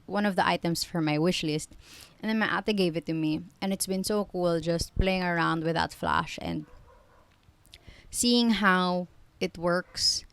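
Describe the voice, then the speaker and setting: A woman's voice: on the low side, vocal fry, clear, resonant tone, no room sound. A woman, chatting casually in a rambling vlog with frequent self-corrections and giggly asides. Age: 20s. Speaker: vlogger.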